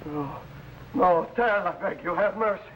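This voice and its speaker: Casting: cowardly tyrant ruler, slow, highish voice, vintage radio-serial melodrama. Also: male voice, a bit bright, slight background noise, low-quality recording. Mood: terrified pleading. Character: cruel tyrant governor, cowardly when cornered. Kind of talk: old-time radio adventure acting.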